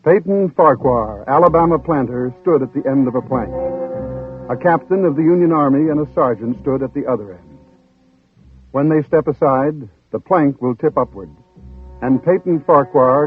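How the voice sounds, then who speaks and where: Male radio host-narrator: deliberate, grave, mid-pitched, slightly rough, faint music behind. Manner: measured, solemn, deliberate phrasing. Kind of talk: old-time radio dramatic scripted narration. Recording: muffled old radio broadcast, faint music.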